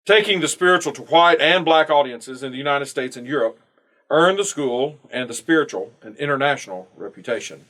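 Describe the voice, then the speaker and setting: Male reader, mid-range voice, for formal scripted devotional narration; measured, phrase-by-phrase delivery. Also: medium pitch, lively intonation, fairly bright tone, rough recording. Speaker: church member reading a devotional. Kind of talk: scripted narration, read aloud.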